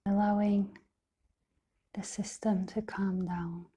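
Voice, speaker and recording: A woman guides a meditation in a very dark, mellow voice, soft and half-whispered. Her delivery is slow and nearly flat in pitch, with gentle, soothing phrases set apart by long pauses. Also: husky. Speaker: meditation teacher.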